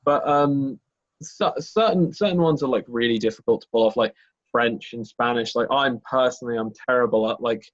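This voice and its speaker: A man's voice: medium pitch, normal speed, dull audio. A man talking casually about voicing characters as a game master, rambling with many fillers and restarts. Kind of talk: casual podcast chat.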